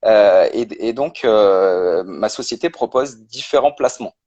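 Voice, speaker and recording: A man's voice: on the low side, unhurried, warm tone, highs cut off. A man, a guest on a live-stream interview, explaining step by step with many hesitations. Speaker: guest, personal finance creator.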